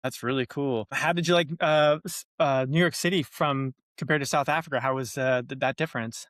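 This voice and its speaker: Male podcast host, mid-pitched voice, dry close sound, relaxed hesitant questions. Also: brisk, dry recording. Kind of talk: casual interview hosting.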